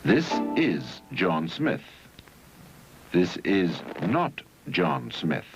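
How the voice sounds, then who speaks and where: A man reading scripted educational film narration in a measured, didactic way with deliberate phrasing, on a 1971 film soundtrack. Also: deep voice, huge pitch swings, dull audio, soft background music.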